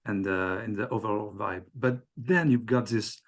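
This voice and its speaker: A man whose first language is French, interviewing a musician in English, halting and hesitant with many fillers and self-corrections. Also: fairly low pitch. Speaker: fan-club interviewer. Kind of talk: interview questions.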